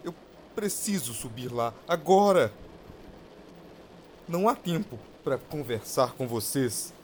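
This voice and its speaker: A man's voice: medium pitch, lively intonation, slow, a little hiss or hum. A man playing a haughty, desperate restless soul in a borrowed body in an audio drama, with a Brazilian accent and formal, insulting speech. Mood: desperate pleading.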